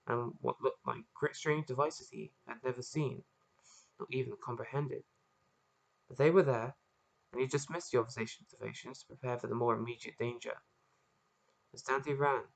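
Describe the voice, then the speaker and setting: A man with a croaky voice, just woken up, reading a chapter of his own story aloud into a laptop webcam and then signing off casually. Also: medium pitch, fairly bright tone, rough recording. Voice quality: croaky, gravelly. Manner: casual, rambling sign-off with fillers. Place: at home in front of a laptop.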